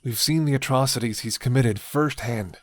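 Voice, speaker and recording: A man's voice: medium pitch, normal speed, no room sound, close to an everyday voice. A man voicing a sardonic rebel leader who mocks an old friend and then pleads earnestly. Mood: grave.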